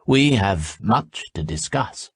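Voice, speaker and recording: A man voicing a smooth, menacing young male envoy who speaks low, level and slow. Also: expressive, no echo, full character voice. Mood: silkily menacing.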